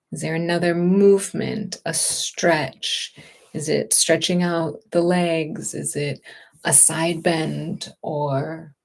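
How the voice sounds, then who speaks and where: A woman leading a guided meditation and gentle movement practice as a teacher, speaking in a gentle, unhurried, reassuring way. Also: on the low side, gravelly.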